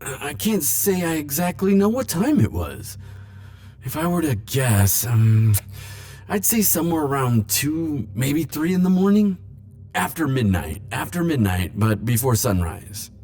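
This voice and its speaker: A man playing a defensive, lovelorn immortal in an ASMR roleplay, speaking hesitantly with stammers and halting phrases. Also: a little high, big pitch swings, a little mellow. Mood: hesitant, apologetic. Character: flirtatious, defensive male immortal pining for Grim Reaper. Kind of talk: ASMR roleplay monologue to the listener.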